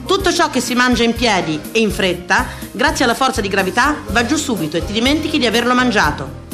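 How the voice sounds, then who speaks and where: Actress as eternal dieter, woman, high voice, wry, self-mocking, theatrical radio monologue, declamatory, dramatic pauses, background music. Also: natural voice. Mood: mock solemn.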